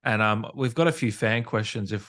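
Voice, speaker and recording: A man's voice: fairly low pitch, a little fast, fairly bright tone. A man working as an interview host, chatting casually and putting questions to a guest.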